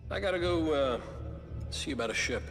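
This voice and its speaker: An irreverent, wisecracking rogue in a video game cutscene. His delivery is breezy and sardonic, swinging easily up and down in pitch. Music plays clearly behind him. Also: lively intonation, normal speed. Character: cocky, wisecracking rogue scout, irreverent and sly.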